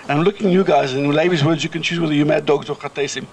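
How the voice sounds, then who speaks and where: A man's voice: on the high side, steady tone, rapid-fire. A middle-aged man from South Africa with a South African accent, chairing a kibbutz and giving a chatty, anecdotal speech to a reunion crowd outdoors. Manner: chatty anecdotal storyteller with filler-laden restarts.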